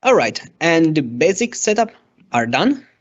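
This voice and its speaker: Slow, halting man giving a software demo. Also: on the high side, big pitch swings. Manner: hesitant, halting delivery. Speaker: software engineer presenting a tutorial. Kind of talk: step-by-step software demo narration. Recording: dull, lo-fi microphone sound.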